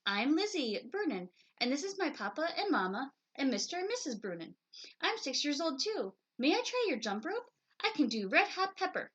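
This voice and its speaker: A woman's voice: high-pitched, very animated, moderate pace, fairly bright tone, voice pushed up. A woman voicing a braggy, bossy six-year-old girl bear cub for a storybook. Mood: friendly. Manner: bossy, boastful, playful.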